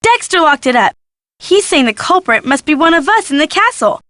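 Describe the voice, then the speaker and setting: A woman's voice: sits high, sing-song, a little fast, fairly bright tone, a little hiss or hum. A woman playing a gossipy, snarky young female photojournalist in a video game, with sardonic, dramatic emphasis. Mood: conspiratorial, perky. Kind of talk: video game character dialogue.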